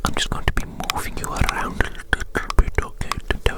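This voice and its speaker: Hushed whispering ASMR roleplayer, meticulous. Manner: unhurried, repeats phrases softly, meticulous. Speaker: ASMR performer. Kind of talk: ASMR roleplay, whispered measuring and note-taking.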